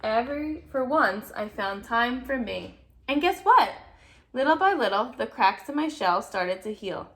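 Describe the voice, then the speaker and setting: Lady reading as an earnest lil egg fella from a kids book, kinda high voice, expressive, tries hard to be good. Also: measured pace. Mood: reflective. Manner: expressive storybook reading with playful emphasis. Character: earnest, anxious-to-please male egg narrating his story. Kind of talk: children's picture-book read-aloud.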